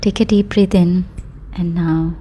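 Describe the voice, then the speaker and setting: Slow, mellow woman guiding sleep meditation. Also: lowish voice, fairly even intonation, breathy edge. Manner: soothing, unhurried, short phrases with long pauses. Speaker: meditation guide. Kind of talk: guided sleep meditation with affirmations.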